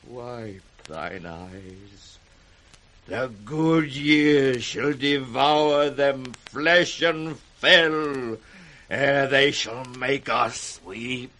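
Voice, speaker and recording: A man's voice: mid-range voice, bright, forceful tone, heavily acted. An actor playing an aged, broken king, tender and defiant, in declamatory 1960s radio Shakespeare. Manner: slow, declamatory, emotional.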